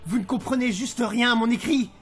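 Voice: medium pitch, a little fast, soft background music, natural voice. An actor playing a pompous, indignant, vengeful male novelist in an audio drama. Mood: offended, pompous. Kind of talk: French audio drama acting.

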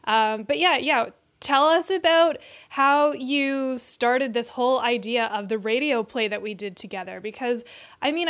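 A woman's voice: fairly high pitch, fairly bright tone. A woman in her thirties hosting a podcast interview in an upbeat, chatty way.

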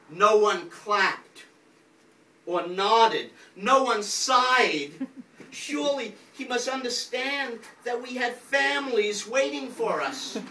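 An older man reads as a poet at a live event, with a bright, projecting voice and a wry manner. He takes his time over the words. He is heard from back in a small, echoey room. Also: high voice. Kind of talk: live poetry reading with wry introduction. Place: small crowded indoor venue, on stage. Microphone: distant camera from the audience. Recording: roomy, distant audience recording with background noise.

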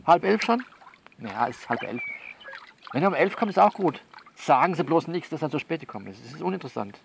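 A man's voice: fairly high pitch, sing-song, brisk, vocal fry, slight background noise. A man in a conversational, improvised one-sided monologue, coaxing and bossing a listener along and often repeating himself. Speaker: performer of his own audio novel.

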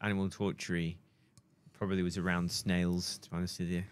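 A man's voice: sits low, little pitch movement, fairly quick, clear, resonant tone. A man in casual podcast banter, telling a rambling story with hesitations. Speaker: podcast co-host.

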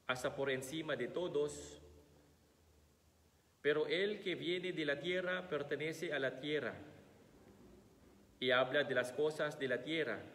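A man in his thirties with a Filipino accent, a priest with a slight cold, reading the Gospel and preaching slowly with many pauses and "ah" fillers. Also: fairly high pitch, fairly bright tone. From Philippines. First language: Tagalog. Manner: slow and halting, many "ah" fillers while finding words. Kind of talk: Gospel reading and homily at Mass. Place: large church, seated at altar. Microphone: fixed camera across the altar. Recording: echoey church with long reverb.

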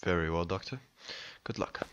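Man acting low, muffled, self-important president. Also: normal speed, background noise, natural voice. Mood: earnest, defensive. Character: restless, self-important male president turned amateur sleuth. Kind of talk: audio drama acting.

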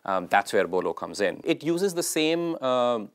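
A man's voice: mid-range voice, very animated, unhurried. A man in his thirties giving an explanatory interview as a member of a product team.

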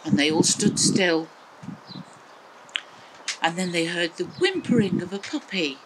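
An older woman with an English accent tells a children's story in simple phrases. Her intonation is very lively. She is outdoors in a garden, with noise audible behind her. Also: lowish voice, unhurried. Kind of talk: children's storytelling, talking to small listeners. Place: outdoors in a small back garden.

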